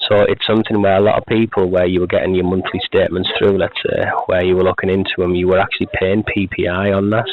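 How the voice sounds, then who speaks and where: Deep croaky salesman on phone. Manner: rambling, repetitive sales pitch with many restarts. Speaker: telemarketer. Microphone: telephone. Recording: tinny phone line.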